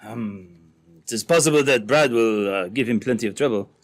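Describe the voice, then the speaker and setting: A man's voice: mid-range voice, moderate pace, lightly acted. Man acting a scheming, ruthless French-speaking villain. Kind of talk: re-created old-time radio drama acting.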